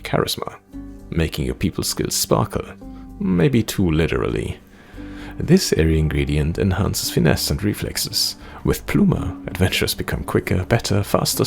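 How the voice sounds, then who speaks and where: A man's voice: low-pitched, gravelly. A man playing a game, reading its text aloud expressively and adding casual commentary. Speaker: gamer playing through a demo.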